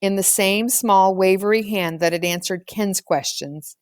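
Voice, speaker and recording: A woman's voice: medium pitch, fairly even intonation, unhurried, clear, resonant tone, no room sound. Woman narrating in a dry recording. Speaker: audiobook reader. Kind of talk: scripted storybook narration.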